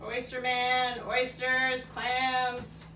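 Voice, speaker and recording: A woman voicing an old-time street vendor in a puppet show, loudly calling out wares. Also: sits high, monotone, drawn-out words, pitched above the performer's own voice, exaggerated voice. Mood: hawking. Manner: loud hawking calls. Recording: distant, echoey live taping with background noise.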